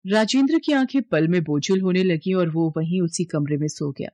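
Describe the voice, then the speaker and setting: A woman's voice: fairly low pitch, moderate pace, mellow, no room sound. A woman reading a scripted dramatic story as its narrator.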